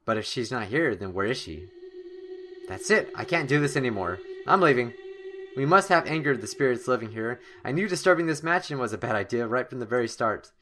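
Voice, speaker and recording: A man doing let's-play commentary, reading game text aloud dramatically with expressive, reactive asides. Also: mid-range voice, a little fast, faint music behind. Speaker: gamer, let's-play host. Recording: clearly noisy background.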